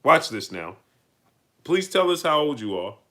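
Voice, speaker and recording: Man, New York accent, livestream host, bright forceful voice, repeats points, heated. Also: a little high, very animated, a little slow. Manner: emphatic, repeats lines, rises to heated peaks. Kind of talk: live-stream chat, reading super chats.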